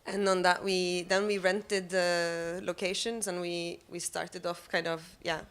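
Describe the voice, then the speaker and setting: A woman answering as a panelist, thoughtful and halting, with a dry self-deprecating turn, in a cafe. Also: fairly low pitch, level tone, a bit bright, clean tone. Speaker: panelist, member of a collective. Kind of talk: live panel discussion. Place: cafe of an arts venue.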